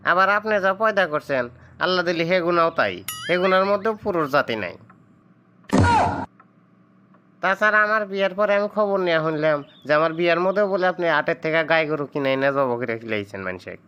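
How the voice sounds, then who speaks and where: A man's voice: on the high side, expressive, quick pace, pushed and bright, clear, resonant tone, muffled recording. A man playing an entitled young village son-in-law in a comedy cartoon, with a Sirajganj Bangladeshi accent and a complaining, sarcastic way of talking. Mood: sarcastic complaint.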